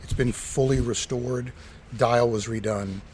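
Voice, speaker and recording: A middle-aged man speaks at an ordinary pitch in relaxed, anecdotal conversation. He is an enthusiastic storyteller who voices the quotes in his tales. Faint background noise sits behind him. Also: a little slow. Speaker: interview guest, retired watch collector.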